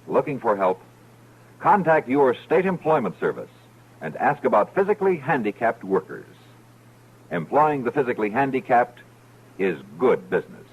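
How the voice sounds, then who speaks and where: Animated male 1950s radio announcer. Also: mid-range voice, normal speed, slight background noise. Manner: formal, dramatic delivery. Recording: dull old radio recording. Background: music.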